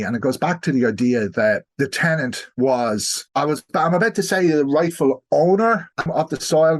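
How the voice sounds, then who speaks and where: A man's voice: medium pitch, slightly rough. Man, Irish accent, mid-range voice, podcast historian guest, conversational, discursive, self-qualifying explanations. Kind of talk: conversational expert explanation in an interview.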